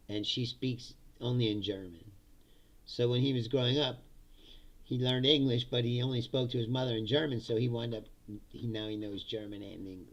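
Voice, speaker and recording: A man's voice: mid-range voice, gravelly, a bit bright. A middle-aged man from Indiana chatting casually on a livestream, laughing often and slipping into mock voices.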